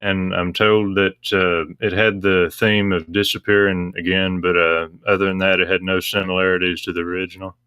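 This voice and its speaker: A man with a low, monotone voice explains things as a guest in a casual discussion. His delivery is measured and matter-of-fact, with a crisp tone and a dry, close sound. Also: no echo. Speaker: interview guest, visual novel translator.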